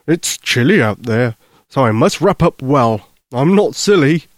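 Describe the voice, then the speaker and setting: A man's voice: medium pitch, lively intonation, a little slow, no room sound, studio quality. A man voicing a sweet, eager little girl in a bedtime story, earnest and full of childlike questions. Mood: curious.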